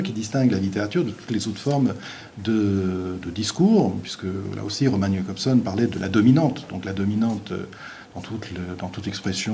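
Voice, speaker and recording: A male visiting university lecturer, a native Portuguese speaker, giving an academic lecture in a halting way with many restarts and fillers. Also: on the low side, dark tone, slight background noise.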